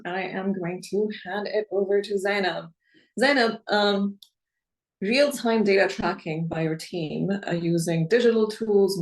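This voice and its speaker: A woman from Pakistan hosting a webinar panel over a video call, introducing speakers in an organized, clear way. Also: mid-range voice, a little mellow, rough recording. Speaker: webinar host and chief program officer. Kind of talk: moderating an online panel.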